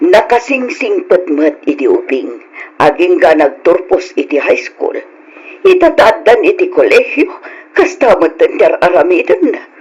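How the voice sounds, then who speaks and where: Husky, high-pitched Ilocano elder played by a man. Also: very animated, unhurried, exaggerated voice, poor audio. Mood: concerned. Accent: Filipino, Ilocano. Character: concerned elder relative giving family advice. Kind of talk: Ilocano radio drama acting.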